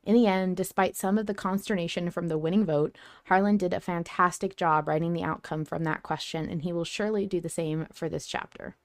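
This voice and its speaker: Female fan-podcast host, slightly low register, effusive delivery, dry sound. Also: steady tone, fairly quick, no room sound. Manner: effusive, gushing praise. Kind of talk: casual fan podcast commentary.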